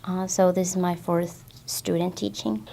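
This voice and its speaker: A woman's voice: fairly low pitch, level tone, unhurried, gravelly, mellow. A young woman from southern Finland with a Finnish accent, a student teacher giving brief, plain answers in careful English in a casual interview. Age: young adult.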